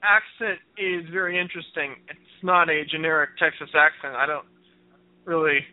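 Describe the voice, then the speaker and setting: A man's voice: fairly high pitch, moderate pace, no echo. A man co-hosting a radio chat show, chatty and eager to jump in. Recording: dry, narrow phone-like sound.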